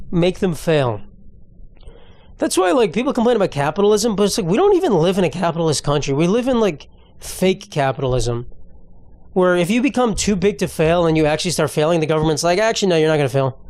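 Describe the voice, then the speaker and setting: A man's voice: sits high, darkish tone, no echo. A man hosting a podcast alone, rambling and ranting in a casual, profane way. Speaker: podcast host. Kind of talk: casual solo podcast monologue.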